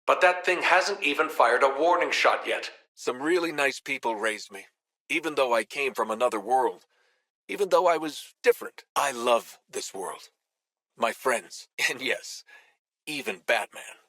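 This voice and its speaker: A man voicing a powerful superhero in a video game, speaking in an earnest, deliberate manner. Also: medium pitch, lively intonation, sharp tone, no room sound, heavily acted. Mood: earnest, serious. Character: powerful male superhero, once kindly, now menacing. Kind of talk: acted video game voice lines.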